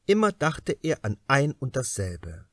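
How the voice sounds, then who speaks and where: A man's voice: mid-range voice, wildly swooping pitch, measured pace, dry recording, studio quality. A man reading a crime novel aloud as a scripted audiobook narration.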